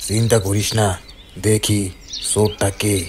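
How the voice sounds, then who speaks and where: A man's voice: on the low side, flat delivery, takes it slowly, background noise. A man playing a bold, stubborn village palm-sap tapper in a cartoon, with a rural Bangladeshi accent. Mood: worried, determined. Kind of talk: cartoon voice acting.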